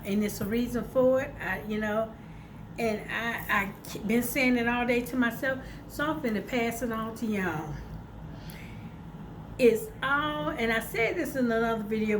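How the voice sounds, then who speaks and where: A woman's voice: mid-range voice, noisy background. A woman with a congested, stuffed-up voice, talking casually and encouragingly to her viewers at home, full of fillers. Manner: chatty and informal, addresses viewers as y'all, many fillers. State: congested from sinuses. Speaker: vlogger. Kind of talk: casual motivational vlog to viewers. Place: at home, by a window.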